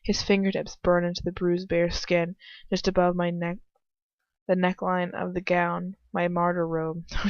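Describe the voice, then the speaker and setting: A woman doing an amateur read-aloud of fan fiction in a casual, irreverent way, stumbling and breaking into chatty asides. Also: lowish voice. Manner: irreverent, giggly, swearing asides, stumbles while reading. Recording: muffled lo-fi home recording, roomy echo.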